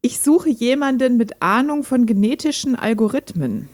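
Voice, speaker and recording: A woman's voice: fairly high pitch, lively intonation, normal speed, darkish tone. A woman playing a lonely, reserved hobby programmer in an audio drama, speaking in a dry, direct way. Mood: curious, friendly. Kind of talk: amateur audio drama dialogue, read chat.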